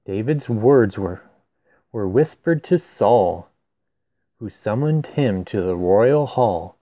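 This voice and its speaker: Slow-paced man reading a picture book. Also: fairly low pitch, animated, mellow, no room sound, muffled recording. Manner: simple explanations addressed to children. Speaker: storyteller. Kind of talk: children's picture book read-aloud with casual asides.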